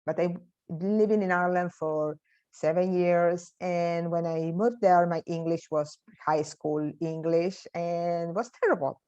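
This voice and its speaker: Latin-accented woman storyteller, mellow and muffled. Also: fairly low pitch, steady tone, clear, resonant tone. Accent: Latin American. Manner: halting, non-native English with small grammar slips. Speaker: speaker at a storytelling talk. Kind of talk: personal anecdote and advice to an audience. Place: online video meeting.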